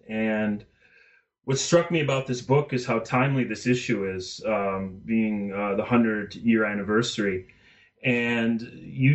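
A male podcast host asks his guest polite questions, sometimes stumbling and restarting as he goes. His voice sits at an ordinary pitch and sounds a little distant, as if he were some way from the mic. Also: lo-fi sound. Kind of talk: interview.

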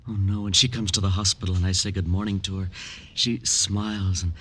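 An actor playing a delusional, lovesick killer in a 1950s radio crime drama, childlike, insistent and self-justifying. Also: male voice, deep voice, steady tone, a little fast, pushed and bright, slightly rough, exaggerated voice. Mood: earnest, insistent. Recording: old radio recording with faint noise.

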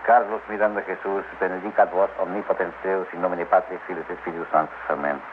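An actor playing a stern, protective village priest, commanding and solemn, in classic radio drama style. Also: male voice, low-pitched, steady tone, quick pace, close to an everyday voice. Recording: noisy old dull radio recording.

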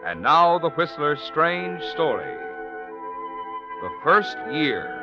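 A man's voice: fairly high pitch, very animated, slow. An American man announcing a 1940s radio program and its sponsor in an emphatic, promotional manner. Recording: muffled old radio recording, faint music.

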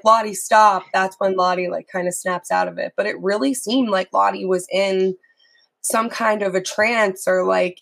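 A woman co-hosting a live-streamed fan podcast, hoarse as she gets over an illness, recapping scenes in a chatty way. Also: medium pitch. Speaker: podcast co-host. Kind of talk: casual chat.

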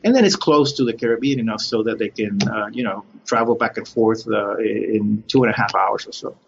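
A middle-aged man from Cuba and Puerto Rico, an academic guest explaining his research in measured, halting phrases with frequent pauses. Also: fairly low pitch, vocal fry. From born in Cuba, raised in Puerto Rico. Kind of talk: explanatory interview talk. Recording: dull lo-fi sound with background noise.

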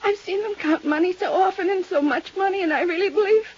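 An actress playing a fragile, unhinged wealthy widow in a 1950s radio drama, rambling in halting, repetitive phrases. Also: female voice, very high-pitched, darkish tone. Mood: tearful, hysterical. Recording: muffled, hissy 1950s radio recording.

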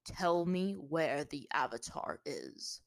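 Smug warrior villain for fantasy audiobook, played by a woman: lowish, calm, condescending, coolly menacing, dry sound. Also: unhurried, no echo. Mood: cold, threatening. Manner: calm, offhand, condescending taunting.

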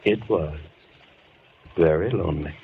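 An actor playing a courteous, lonely madman holding captives, speaking in a calm, formal, deliberate way in an old-time radio horror play. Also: low-pitched. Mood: polite menace. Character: soft-spoken menacing madman, lonely, courteous male captor. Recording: noisy, tinny old-time radio recording.

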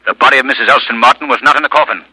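An actor playing an invisible male vigilante in an old radio drama, questioning in a stern, measured, menacing manner. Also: mid-range voice, sharp tone, breathy edge, no echo, low-quality recording. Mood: stern, accusing. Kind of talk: 1940s radio drama acting.

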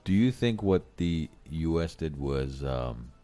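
Man, very deep voice, crude joking, faint background music. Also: expressive, slow, mellow, smooth. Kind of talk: casual chat.

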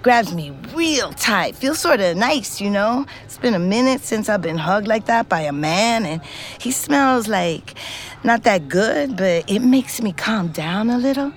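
An actress playing a streetwise, weary middle-aged ex-convict who narrates an audio drama in a frank, wistful, conversational way with slangy swearing. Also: female voice, mid-range voice, animated, lightly acted. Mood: confiding. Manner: conversational, halting, profane slang. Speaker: lead actor and narrator in scripted fiction podcast. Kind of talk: intimate first-person audio drama narration.

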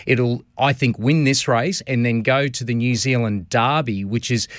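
A man's voice: medium pitch, normal speed, no room sound. Enthusiastic Australian man, dry studio sound. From Queensland, Australia. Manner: enthusiastic, many restarts and fillers. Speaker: harness racing guest. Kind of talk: radio studio interview, race previews. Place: radio studio.

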